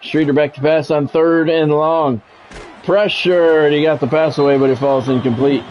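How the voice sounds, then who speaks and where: A man's voice: fairly high pitch, fairly even intonation, moderate pace, slight background noise. An American man doing live play-by-play of a football video game in short sportscaster phrases, chatting casually with stream viewers. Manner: radio-style sportscaster calls in short clipped phrases. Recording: dull lo-fi mic with background music. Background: music.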